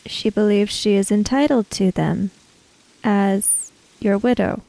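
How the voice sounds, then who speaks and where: Woman playing a slow, formal female samurai. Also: medium pitch, fairly even intonation, creaky, warm tone, rough recording. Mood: calm, probing. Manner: measured, formal, reserved. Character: calm, courteous female samurai questioning a ghost.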